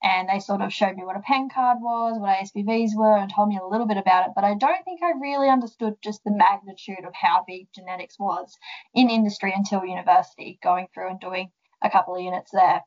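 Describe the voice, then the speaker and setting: A woman's voice: medium pitch, fast, a little mellow, muffled recording. A young woman with an Australian accent, a guest on a podcast, answering questions in a friendly, explanatory way with frequent ums. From central west New South Wales, Australia. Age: young adult. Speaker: guest, sheep genetics development officer. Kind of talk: casual interview answers.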